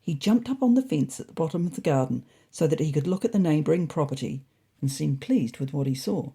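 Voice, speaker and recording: An expressive narrator tells a first-person animal story with wry drama. The voice is mellow and a little husky, and the pitch moves about in a very lively way. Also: a little fast.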